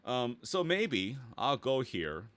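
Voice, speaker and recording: A man giving a casual, easygoing software tutorial, thinking aloud and muttering small asides as he works. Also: mid-range voice, sing-song, takes it slowly, no room sound. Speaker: voice actor giving a website-building tutorial.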